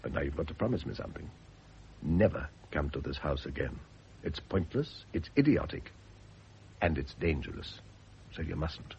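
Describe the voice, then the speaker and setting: An actor playing a suave, evasive middle-aged British gentleman and teasing seducer in an urbane, sardonic radio drama style. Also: extremely low pitch, sing-song, moderate pace, gravelly, long pauses, heavily acted. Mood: scolding. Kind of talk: 1970s radio drama acting. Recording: dull vintage radio recording with faint hiss.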